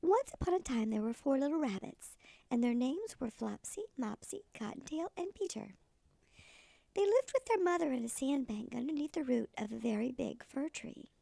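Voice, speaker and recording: A woman voicing a tiny old granny who reads a children's storybook expressively in a gravelly voice. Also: high-pitched, sing-song, normal speed, mellow, dry recording, heavily acted. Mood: storytelling. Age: older. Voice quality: creaky, gravelly.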